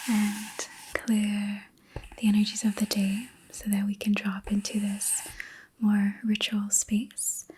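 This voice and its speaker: Woman doing asmr reiki, soft mellow whisper, gentle n soothing, barely any pitch movement. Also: a little hiss or hum, rough recording. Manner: gentle, soothing, unhurried with pauses. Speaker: ASMR host and reiki practitioner. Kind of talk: whispered ASMR guided relaxation session.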